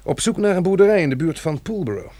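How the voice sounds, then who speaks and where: A man acting in a Dutch radio drama as a kind, protective survivor, with a gentle and reassuring manner. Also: sits high, sing-song, quick pace, dry recording. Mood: steady. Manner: gentle, reassuring toward a child. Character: kind, protective male survivor, lonely narrator of a catastrophe.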